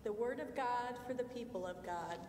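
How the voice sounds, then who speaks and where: An older woman reading scripture formally, with measured and deliberate phrasing. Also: fairly high pitch, darkish tone. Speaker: scripture reader. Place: large church sanctuary, at a lectern. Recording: distant, echoey church hall, faint noise.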